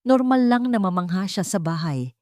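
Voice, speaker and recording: A woman voicing a calm, guarded wife in a dramatic story, controlled in manner, with a Filipino accent. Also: mid-range voice, moderate pace, no room sound, clean studio sound, close to an everyday voice. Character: composed, guarded young wife hiding her plans.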